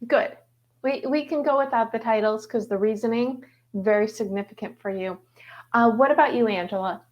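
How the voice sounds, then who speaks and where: A woman's voice: a little high, moderate pace, muffled recording. A woman moderating a panel of authors, enthusiastic, with frequent stumbling restarts.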